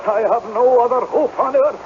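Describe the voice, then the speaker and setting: A wronged, desperate piper in an old radio play, his voice pitched very high. He stammers in anguish and pleads earnestly. The recording is muffled, with heavy noise. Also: normal speed. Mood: pleading, bewildered. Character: desperate, frightened Scottish piper, a wronged everyman. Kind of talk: 1970s radio drama acting. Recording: dull, noisy old radio broadcast.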